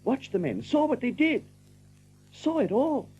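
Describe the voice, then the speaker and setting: An actor voicing a nervy, gentle rabbit survivor in an audio drama, confiding and repeating his phrases. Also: very high voice, lively intonation, a little slow, muffled recording, faint music behind. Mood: shaken, rueful. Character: nervy, soothing male rabbit survivor of a massacre.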